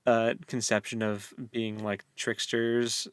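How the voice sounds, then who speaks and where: A man's voice: lowish voice, little pitch movement, slow pace, clean tone, no room sound. A man in his late twenties with an American accent, co-hosting a casual book discussion in a jokey way, with pop culture asides. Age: late 20s. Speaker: podcast co-host. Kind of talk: casual book club chat.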